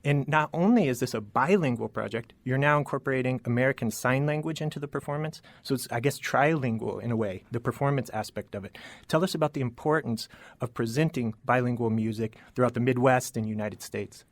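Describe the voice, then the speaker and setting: A man's voice: medium pitch, brisk, no echo. A man hosting a radio interview, asking questions attentively and affirming his guests. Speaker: radio show host.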